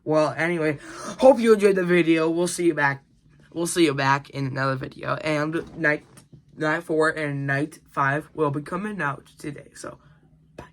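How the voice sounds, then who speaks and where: Young man, higher-pitched, chatting casually. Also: fairly even intonation, normal speed, fairly bright tone, a little hiss or hum. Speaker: gaming video host. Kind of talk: casual reaction chat.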